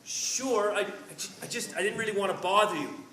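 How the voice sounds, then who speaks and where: A man's voice: high voice, fairly quick, pushed and bright. A man voicing an earnest, anxious, curious young companion in a story, hesitant and stammering but persistent. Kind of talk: story dialogue read aloud. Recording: roomy, distant microphone with faint noise.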